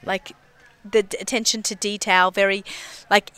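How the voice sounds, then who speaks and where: A woman's voice: mid-range voice, level tone, normal speed, fairly bright tone, smooth, no room sound. A woman with an Australian accent interviewing someone in a hotel, chatty and gushing with lots of restarts. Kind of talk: enthusiastic interview chat.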